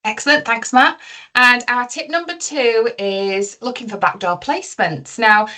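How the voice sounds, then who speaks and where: A woman's voice: medium pitch, fairly bright tone. A middle-aged woman co-presenting a webinar in an informal, instructional way.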